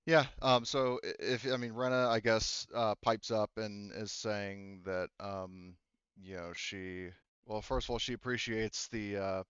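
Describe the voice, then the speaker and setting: A man running a tabletop roleplaying game as game master, narrating casually in a halting, conversational way. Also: lowish voice, fairly even intonation, fairly bright tone, clear, resonant tone, dry recording, muffled recording.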